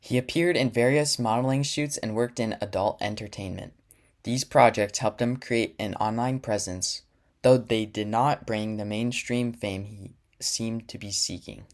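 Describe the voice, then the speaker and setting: A man with a lowish voice narrates a documentary from a script. His delivery is even and measured.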